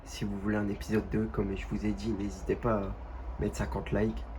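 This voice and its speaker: Young man, stuffy nose from a cold, slightly low voice, faint game noise behind, halting casual commentary. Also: completely flat delivery. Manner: halting, many fillers and restarts. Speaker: gaming youtuber. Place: at home.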